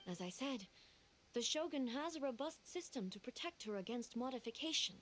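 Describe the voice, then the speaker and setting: Regal, solemn goddess. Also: female voice, sits high, animated, fairly bright tone, slight background noise. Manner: measured, formal, dignified. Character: composed regal female deity, grave and formal. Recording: game audio with music behind.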